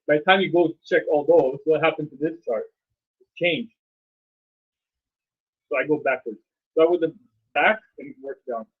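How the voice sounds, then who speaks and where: A man runs a small meetup session, explaining trading through questions to the group and family analogies, with a boastful edge. His voice is husky and sits a little high. The sound is thin and phone-like. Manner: rhetorical questions, boastful, uses everyday analogies. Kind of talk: live teaching talk to a small group. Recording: muffled phone-like recording.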